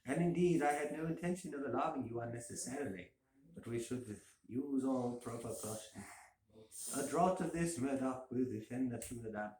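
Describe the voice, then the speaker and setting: A man voicing a polite, cunning gentleman who feigns concern in a courteous, measured, insistent way. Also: mid-range voice, echoey room, lightly acted, poor audio. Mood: solicitous.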